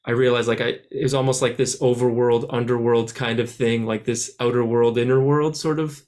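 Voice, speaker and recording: Rambling musician answering at length. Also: male voice, mid-range voice, steady tone, a little fast, darkish tone. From France, raised in Canada. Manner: rambling, many restarts and "like" fillers. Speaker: musician guest. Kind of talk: casual interview answers.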